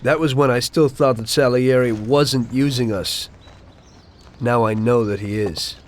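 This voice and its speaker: An actor playing a cautious young mob driver who speaks in a wary, firm, down-to-earth way. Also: male voice, mid-range voice, steady tone, measured pace, natural voice. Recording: game audio with street noise.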